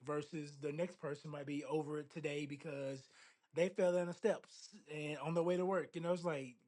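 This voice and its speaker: Guy cohosting a podcast, kinda high voice, cracks jokes and cusses, laughs a lot. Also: fairly even intonation, normal speed, darkish tone. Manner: joking, profane, laughs often. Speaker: podcast co-host. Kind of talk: casual chat.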